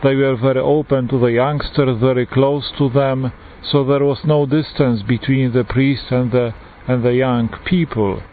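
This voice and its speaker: A man in his forties with a Polish accent, a priest giving an earnest, unhurried personal testimony with frequent pauses. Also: mid-range voice, steady tone. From Poland. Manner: earnest, unhurried, pausing often. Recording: tinny phone-like line with faint hiss.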